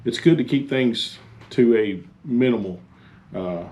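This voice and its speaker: A man giving an informal instructional talk as a fire chief, halting and plain-spoken. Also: medium pitch, darkish tone. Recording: faint background noise.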